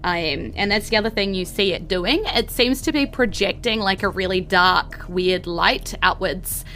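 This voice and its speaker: Bright-voiced woman running a tabletop game. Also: mid-range voice, normal speed, faint music behind. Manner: casual, chatty, giggly. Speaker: game master. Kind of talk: improvised narration of a tabletop game.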